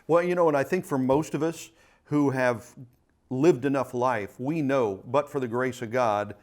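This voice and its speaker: A man's voice: medium pitch, moderate pace, darkish tone. A man hosting a local community talk show, asking guests questions in a conversational way.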